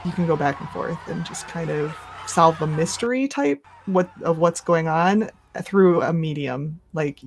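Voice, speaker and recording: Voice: medium pitch, animated, unhurried, creaky, hardly any pauses. A podcast guest chatting casually and a little hesitantly about video games. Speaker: podcast guest who plays games for an online audience.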